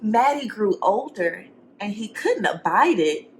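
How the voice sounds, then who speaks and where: A woman's voice: mid-range voice, animated, a little slow, a little hiss or hum. A woman teacher reading a storybook aloud to young students, expressively, with simple encouraging questions and asides for the children.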